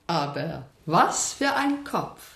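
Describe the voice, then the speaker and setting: An actress playing a plaintive, fastidious upper-class lady in a radio play, speaking in a genteel way. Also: lowish voice, sing-song, creaky, fairly bright tone, breathy edge, full character voice. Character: plaintive, fastidious English lady, travelling collector's wife. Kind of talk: German radio play acting.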